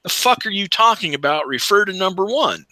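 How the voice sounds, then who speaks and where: A man's voice: sits high, expressive, fairly quick, sharp tone, no room sound, rough recording. A man in his late forties chatting casually on an online group call in a blunt, profane way. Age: late 40s.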